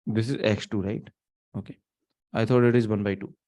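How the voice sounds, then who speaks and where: A man's voice: fairly low pitch, fairly even intonation, normal speed, mellow. A man in his thirties with an Indian accent, giving a live online lecture. He thinks aloud with restarts and fillers, slipping into Hindi, recorded in a small room on a webcam setup. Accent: Indian English, mixing in Hindi. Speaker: teacher. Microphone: webcam setup, not close.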